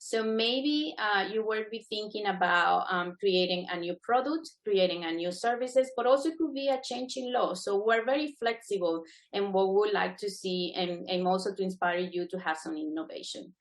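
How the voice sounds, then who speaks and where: A woman's voice: medium pitch, normal speed, few pauses. A middle-aged woman from Venezuela, a native Spanish speaker, giving earnest, encouraging remarks as a guest speaker at a webinar. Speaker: guest speaker, adjudicating panel member. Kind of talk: prepared webinar remarks, semi-spontaneous.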